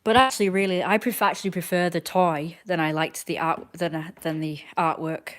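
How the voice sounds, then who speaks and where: A woman's voice: fairly low pitch, steady tone, fairly quick. A woman in her twenties chatting casually on a live stream, rambling and answering viewers' comments as they come in. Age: 20s.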